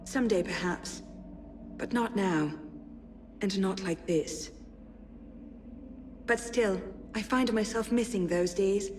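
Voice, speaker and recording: A spirited young noblewoman played by an actress reads her letters aloud for a video game. She is witty and tender, with resolute, measured phrasing at a medium pitch. Some background ambience sits under her voice. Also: darkish tone, slight background noise, close to an everyday voice. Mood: wistful.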